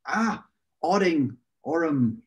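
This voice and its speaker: A man's voice: on the high side, lively intonation, extremely slow, muffled recording. A man whose first language is English, an English teacher hosting a casual chat in Portuguese, playfully acting out words and pronunciations.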